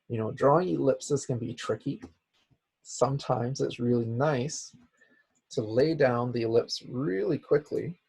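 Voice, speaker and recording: A man with a raspy, hoarse voice, as he is losing it, teaching a software class with many "okay"s. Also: medium pitch. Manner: repeats "okay" as a filler. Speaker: instructor. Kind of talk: software tutorial lecture.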